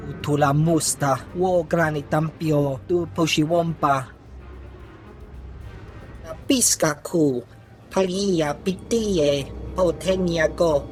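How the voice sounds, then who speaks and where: An actor playing an alien creature in a video game, speaking slowly in an invented alien tongue. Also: medium pitch, close to an everyday voice. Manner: slow, foreign-sounding invented alien speech.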